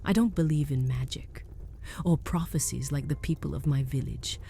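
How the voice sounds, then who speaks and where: A woman playing an earnest, principled young village woman in a fantasy audio drama, speaking in a hushed, measured way. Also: low-pitched, gravelly, slight background noise. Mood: earnest, hopeful.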